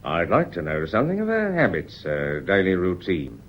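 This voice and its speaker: Deep, husky British police inspector. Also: male voice, huge pitch swings, heavily acted. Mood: methodical, polite. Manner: deliberate, formal, politely insistent questioning. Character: probing, measured, courteous male Scotland Yard police inspector. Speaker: radio drama actor. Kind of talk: British radio mystery play acting. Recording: dull, muffled radio drama recording.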